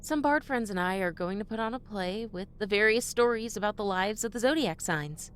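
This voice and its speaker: An actress playing a determined, inquisitive devotee of a trickster god in a fantasy audio drama, speaking earnestly and directly. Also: female voice, mid-range voice, expressive, brisk, slight background noise, lightly acted. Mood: resolved. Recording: music bed under the voice.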